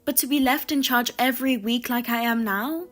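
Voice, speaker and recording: A woman putting on a shrill, needling sister-in-law. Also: moderate pace, lightly acted. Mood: indignant. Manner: high-pitched, indignant and needling. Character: sharp-tongued married woman, a demanding sister-in-law.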